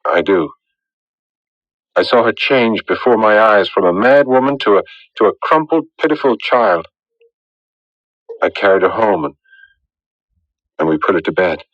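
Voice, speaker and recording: An actor playing an earnest, conflicted fiancé in a radio drama, speaking gravely and haltingly. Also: male voice, fairly low pitch, lively intonation, no echo. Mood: apologetic. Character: earnest, conflicted fiancé named Stan, gentle and solemn. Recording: dull lo-fi old radio recording.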